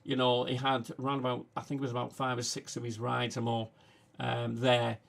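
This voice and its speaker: A man with a Manchester accent hosting a casual livestream, talking haltingly with many fillers. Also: medium pitch, completely flat delivery, gravelly. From England. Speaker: livestream host.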